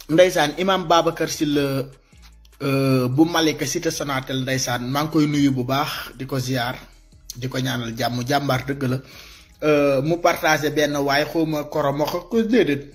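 A man in his thirties with a Senegalese accent giving impassioned, informal video commentary, animated and repeating his points as he exhorts listeners. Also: on the high side. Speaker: social media commentator. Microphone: phone at arm's length.

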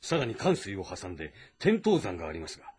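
An actor playing a composed, respectful military strategist who gives measured, formal briefings in a historical game drama. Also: male voice, sits low, wildly swooping pitch, a little mellow, breathy edge, full character voice. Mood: composed, assured.

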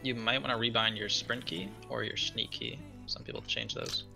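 A man calmly walking a friend through the game settings over voice chat. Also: medium pitch, normal speed, vocal fry, over music. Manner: calm, helpful, matter-of-fact. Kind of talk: casual gaming chat.

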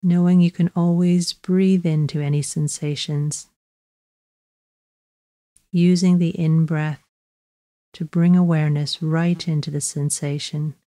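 A woman guiding a body scan meditation in a slow, measured, gently instructive way, leaving long pauses. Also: sits low, fairly even intonation, dry recording, clean studio sound. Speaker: mindfulness meditation guide.

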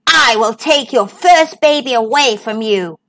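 A woman's voice: on the high side, animated, unhurried, background noise. A woman voicing a cruel old witch in a storybook reading, with a stern, menacing delivery.

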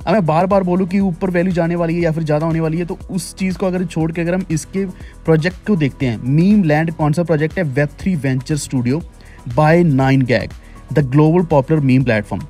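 Male host, slightly higher voice, repeats and urges viewers, screen-recording tutorial. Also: a little mellow. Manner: explanatory, repetitive, urging viewers. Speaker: crypto channel host.

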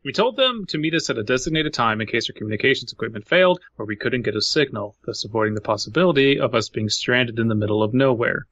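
A man's voice: mid-range voice, animated, quick pace, hardly any pauses, fairly bright tone, no room sound. A man co-hosting a podcast, reading aloud and commenting casually. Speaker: podcast co-host. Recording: dull lo-fi dry podcast audio.

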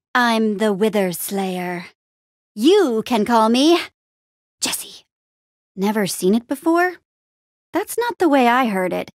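A woman's voice: medium pitch, no room sound, clean studio sound. A woman playing an upbeat, plucky young female adventurer in a video game, with an eager, wry and casual way of talking. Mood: confident. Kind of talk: animated adventure game dialogue.

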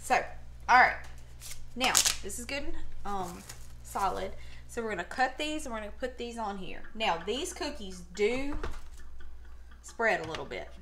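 A woman hosts a casual home cooking demonstration with a mid-pitched voice. She is chatty and folksy, using informal, slangy phrasing. The recording is made in a kitchen from a step or two away, with clear background noise and clicks. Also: lively intonation, a little slow, pauses often. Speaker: home cooking show host. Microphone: camera a step or two away.

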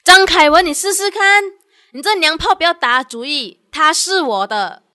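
A young woman with a Malaysian accent playing an excitable, feisty university graduate in a radio drama. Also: extremely high pitch, normal speed, no echo, studio quality, exaggerated voice. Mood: annoyed. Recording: music and crowd behind voice.

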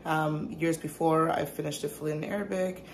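A woman's voice: deep voice, steady tone, moderate pace, slight background noise. A woman in her thirties chatting candidly to her phone camera, tired but open, vlogging from her car and kitchen. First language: English. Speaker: vlogger, mother. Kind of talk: casual vlog chat. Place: in a parked car and a home kitchen. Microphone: phone held at arm's length.

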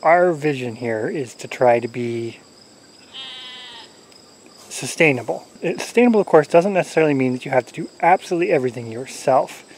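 A man in his forties, a homesteader talking casually to a handheld camera outdoors, relaxed and rambling with many fillers and chuckles. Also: medium pitch, fairly quick, rough recording. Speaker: homesteader vlogger. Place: outdoors in a corn garden. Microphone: camera-mounted mic at arm's length. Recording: faint outdoor insect noise. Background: crickets and insects.

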